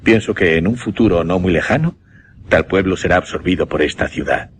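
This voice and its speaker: An actor playing an earnest gentleman mesmerist in a suspense radio drama, formal and deliberate. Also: low-pitched, lively intonation, a little hiss or hum. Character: earnest gentleman mesmerist recounting his uncanny experiment.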